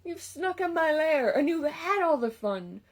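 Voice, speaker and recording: Sulky, grumpy picture-book bear, played by a woman reader, slow and whiny. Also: sits high, lively intonation, pitched above the performer's own voice. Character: grumpy, self-pitying big bear.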